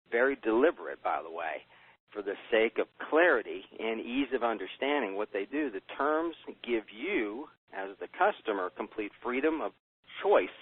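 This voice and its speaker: An American man gives an emphatic business pitch on a conference call, asking himself rhetorical questions and answering them. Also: husky. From United States. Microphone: telephone. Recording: tinny phone line.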